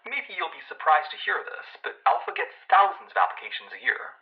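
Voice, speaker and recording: An actor playing a guarded, ambitious male senior lab technician being interviewed in an audio drama, measured, defensive and official-sounding. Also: a little high, sing-song, phone-quality sound.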